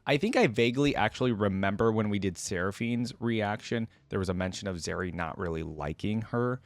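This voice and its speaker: A man's voice: lowish voice, a little fast, no echo. A man hosting a reaction video, chatting casually to his viewers as he comments. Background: faint music.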